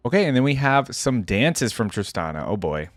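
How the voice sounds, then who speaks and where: A woman's voice: extremely low pitch, big pitch swings, moderate pace, no room sound, soft background music. A woman giving casual reaction commentary as a video host, close to the microphone.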